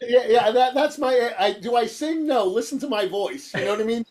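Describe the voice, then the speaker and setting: Nasal, high-pitched New York man, rambling. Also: fairly quick, a little mellow. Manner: rambling, stammering, repeats words and says "you know" often. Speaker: guitarist being interviewed. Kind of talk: casual chat.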